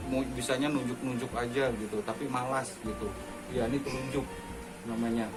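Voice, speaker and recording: A man telling a fable to his children in a chatty, halting way, full of fillers. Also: medium pitch, fairly even intonation, music in the background, low-quality recording. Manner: chatty, halting, many fillers, addresses listeners as friends. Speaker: father telling a bedtime-style story to his children. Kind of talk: casual storytelling.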